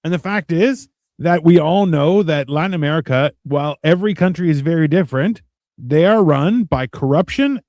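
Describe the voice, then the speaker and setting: A man's voice: on the high side, normal speed, darkish tone, dry recording, clean studio sound. A man in casual panel chat, sarcastic and sweary, given to telling anecdotes. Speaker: talk show panelist.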